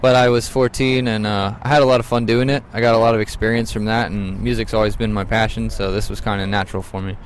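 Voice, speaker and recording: A man from Orlando, a band member, talking plainly and relaxed in an outdoor interview. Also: fairly low pitch, fast talker, few pauses, slight background noise. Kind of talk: casual interview chat. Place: outdoors in a parking lot.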